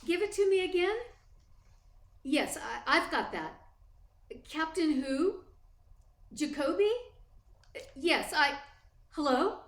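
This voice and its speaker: A woman's voice: high-pitched, expressive, takes it slowly, long pauses, exaggerated voice. A woman playing an anxious, devoted secretary in a radio play, full of alarmed questions. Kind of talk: 1940s-style radio play acting. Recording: echoey room.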